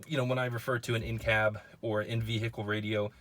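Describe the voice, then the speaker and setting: A man's voice: on the low side, flat delivery, brisk, very noisy. A man hosting a casual product review to camera in an informal, plain-spoken, conversational way. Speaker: video host.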